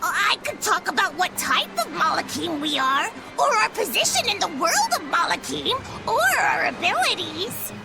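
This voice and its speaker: A woman voicing a small, excitable spirit creature in a video game cutscene, whiny and theatrical. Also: very high voice, moderate pace, creaky, few pauses, full character voice, rough recording. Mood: earnest, explanatory. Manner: theatrical, exclamatory. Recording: music under the voice.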